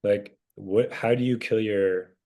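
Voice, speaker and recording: A deep-voiced American man moderates a casual group discussion. He asks probing questions in a loose, conversational way, circling back as he thinks aloud. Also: fairly even intonation, slow, a little mellow. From United States.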